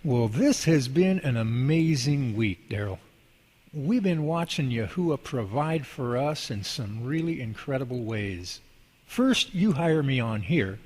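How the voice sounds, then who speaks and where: An actor playing an eager, grateful and devout employee in an amateur radio drama, speaking with earnest wonder. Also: male voice, medium pitch, darkish tone. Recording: long hall reverb.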